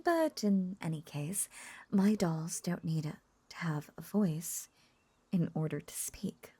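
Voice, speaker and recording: A woman acts out a possessive yandere witch in an intimate audio roleplay. Her voice is low-leaning and husky, slipping into breathy half-whispers. She takes her time, coaxing and cooing with sweetly unhinged devotion. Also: slow. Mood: possessive, lovesick. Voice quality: soft, breathy.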